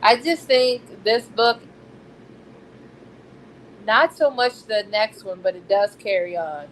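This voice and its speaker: An American woman chatting casually as a book discussion co-host, candid and slangy in her animated storytelling. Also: medium pitch, slow pace, poor audio. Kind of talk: casual chat. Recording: noticeable background noise.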